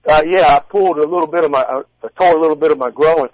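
A man in his forties with a slightly high voice talks as a guest in a casual interview. His phrasing is folksy and halting, and the sound is dry and close. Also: no room sound, low-quality recording. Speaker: guest, strongman competitor and contest judge. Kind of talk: casual interview answers and storytelling.

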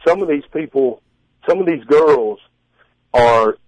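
A man in his forties with a slightly high voice talks as a guest in a casual interview. His phrasing is folksy and halting, and the sound is dry and close. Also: lively intonation, a little mellow, no room sound, poor audio. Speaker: guest, strongman competitor and contest judge. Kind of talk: casual interview answers and storytelling.

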